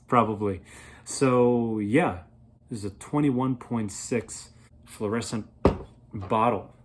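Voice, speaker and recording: A man in his thirties reviewing a product in a casual, playful way, rambling as he thinks aloud, with a slightly husky voice. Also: medium pitch, slow pace, pauses often, heavy background noise. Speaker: beer reviewer, vlog host.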